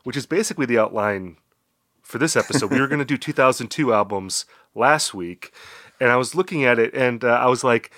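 A man's voice: mid-range voice, a little fast, a bit bright, dry recording, clean studio sound. A man hosting a music podcast in casual chat, congested and getting over an illness, talking in a rambling, self-deprecating way. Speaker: podcast host.

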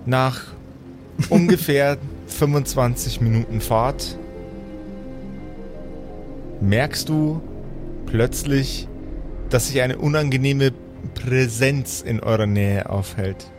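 A man runs a tabletop game as game master over clearly audible music. His mid-pitched voice moves between theatrical storytelling and teasing, casual banter. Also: slow pace, pauses often. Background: background music.

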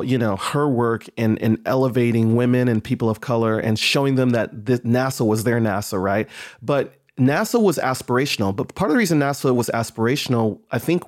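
A man's voice: medium pitch, no room sound, studio quality. A man speaking thoughtfully and conversationally on a panel, reflective and affirming. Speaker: podcast panelist. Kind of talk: casual, thoughtful panel discussion.

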